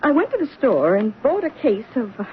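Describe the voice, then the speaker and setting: A woman's voice: on the high side, sing-song, fairly quick. An actress playing a sensible, skeptical wife who humors her husband in an old-time radio drama, by turns coaxing and exasperated. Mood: playful, coaxing. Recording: tinny, thin, faintly noisy old radio recording.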